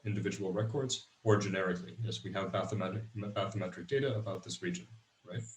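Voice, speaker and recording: A man on the project team explaining things calmly in an online meeting, heard in an echoey room away from the mic. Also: lowish voice. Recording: echoey room, far from mic, faint noise.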